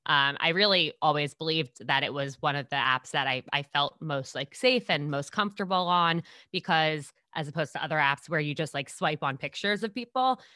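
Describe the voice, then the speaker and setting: A woman's voice: deep voice, normal speed, hardly any pauses, pushed and bright, dry recording, studio quality. A woman in her twenties from New York, a podcast guest telling stories in casual chat and acting out what people said. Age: 20s. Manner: bubbly storyteller, acts out quotes.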